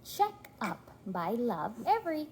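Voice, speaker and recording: Woman, parent reading aloud, animated swooping pitch, unhurried pace, encouraging, prompting, faint noise behind. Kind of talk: read-aloud storytime to a toddler.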